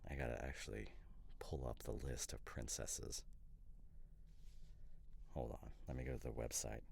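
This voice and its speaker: Very deep, gravelly, rambling boyfriend played by a man. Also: fairly even intonation, moderate pace, a little mellow, a little hiss or hum, exaggerated voice. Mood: pondering. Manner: rambling, hesitant, argues with mock seriousness. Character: opinionated, teasing boyfriend debating couple's costume ideas. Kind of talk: ASMR boyfriend roleplay, casual one-sided chat.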